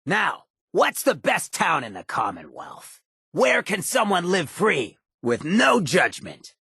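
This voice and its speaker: A swaggering undead outlaw leader for a video game, with a badly rasped, gravelly voice. He talks in a sly streetwise drawl that swings lively up and down, close and dry. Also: high voice, slow, no echo, full character voice. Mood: proud, rousing. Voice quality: gravelly, raspy. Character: charismatic irradiated ghoul mayor, roguish, swaggering outlaw leader. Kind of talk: video game companion dialogue.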